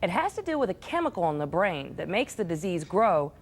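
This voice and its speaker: A woman's voice: lowish voice, big pitch swings, brisk, slight background noise. American woman anchoring the news. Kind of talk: scripted TV news reading.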